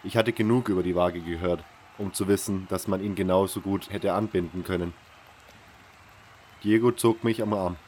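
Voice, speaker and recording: Fantasy audio drama lead: man playing wary nameless convict narrator, deep register, brooding and measured. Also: steady tone, a little mellow, a little hiss or hum, close to an everyday voice. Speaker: first-person narrator.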